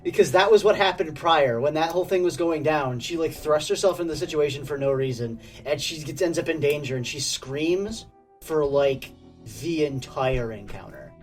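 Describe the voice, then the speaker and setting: A man with a slightly high voice banters as a podcast co-host. He is crude, jokey and sweary, sitting a little away from the mic with faint music behind. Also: moderate pace, far from the mic. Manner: crude, joking, profane. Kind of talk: casual banter and commentary.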